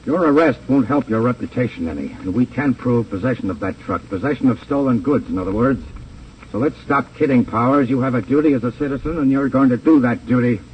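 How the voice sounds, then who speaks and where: A man's voice: medium pitch, fairly quick, close to an everyday voice. Man playing a stern police lieutenant, muffled old radio. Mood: pressing, stern. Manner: blunt, pressing, official. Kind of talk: 1950 American radio crime drama acting. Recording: dull, hissy old radio transcription, distant.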